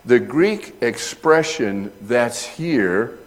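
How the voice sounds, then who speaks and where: A man's voice: medium pitch, expressive, drawn-out words. A middle-aged man delivers an expository sermon in an ordinary mid-range voice. He explains each point patiently, then lifts into emphatic, ringing delivery when reading scripture aloud. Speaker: pastor teaching scripture.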